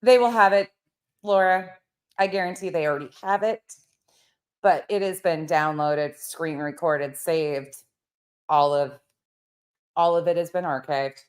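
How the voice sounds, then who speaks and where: Sarcastic, lower-voiced woman commentator. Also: unhurried, pauses often. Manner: sarcastic, profane. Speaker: commentary channel host. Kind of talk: mocking reaction commentary over a clip.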